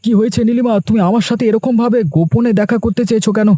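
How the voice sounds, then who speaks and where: A man's voice: very high voice, flat delivery, very fast, dark tone, no room sound. An actor playing a loyal village man in a cartoon, speaking in an earnest, grave and deferential manner. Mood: puzzled, concerned. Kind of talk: dubbed cartoon dialogue. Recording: music under the voice.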